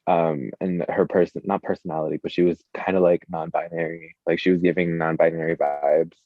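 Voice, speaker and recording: Low voiced guy chatting in an interview, super slangy, says like a lot, muffled sound. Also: flat delivery, a little fast, dry recording. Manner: informal, slangy, hesitant, full of "like". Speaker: musician, interview guest. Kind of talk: casual chat.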